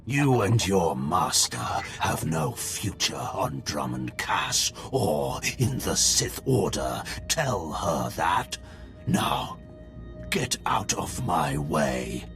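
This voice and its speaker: An actor playing a menacing half-machine dark lord in a video game, slow, contemptuous and threatening, with loud music over the voice. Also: male voice, sits low, sing-song, vocal fry, exaggerated voice. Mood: dismissive. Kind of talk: dramatic video game acting.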